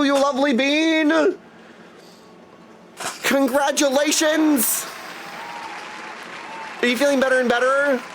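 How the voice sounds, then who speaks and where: A man's voice: high voice, background noise. A man livestreaming casually, greeting viewers with effusive affection as he chats. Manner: effusive affectionate greetings, often addressing listeners as dudes. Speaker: live streamer greeting chat.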